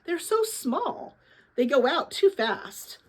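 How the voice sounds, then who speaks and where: Inquisitive young girl, eager and emphatic, higher-pitched, for a storybook read-aloud played by a woman. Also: big pitch swings, slow, heavily acted. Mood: doubtful.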